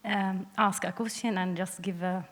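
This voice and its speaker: A young woman with a Syrian Arabic accent speaks earnestly in halting English from the floor of a panel. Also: mid-range voice, steady tone. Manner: halting non-native English. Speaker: audience member, film student. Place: large panel hall, public address microphone. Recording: echoey hall with long reverb.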